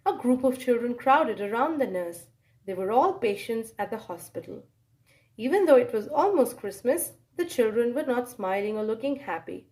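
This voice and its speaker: A woman with a high voice narrates a children's storybook. Her delivery swings widely in pitch, with dramatic pauses and animated exclamations as she reads aloud. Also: rough recording.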